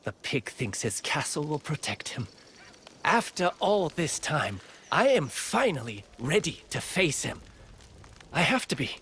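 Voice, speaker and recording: A man's voice: fairly high pitch, very animated, a little slow, sharp tone, slight background noise, heavily acted. A man playing an eager, earnest young villager training as a fighter in a video game cutscene. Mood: earnest, resolute.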